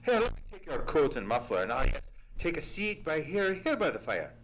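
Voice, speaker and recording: A man playing a genial, fussy English gentleman storyteller in a hearty, theatrical old-time radio drama style. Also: on the high side, sing-song, brisk, slight background noise, poor audio. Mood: hearty welcome. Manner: hearty, theatrical, repeats phrases. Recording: live theatre stage recording.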